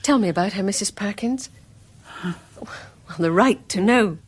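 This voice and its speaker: An actress playing a polite, chatty married newcomer in a radio drama, with a British accent and a genteel, solicitous manner. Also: female voice, medium pitch, normal speed, husky, natural voice. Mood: curious.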